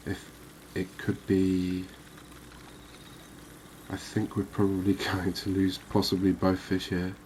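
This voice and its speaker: A man giving quiet, cozy ASMR commentary on a game, unhurried and thinking aloud. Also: low-pitched, completely flat delivery, a little hiss or hum, low-quality recording. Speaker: gaming video host.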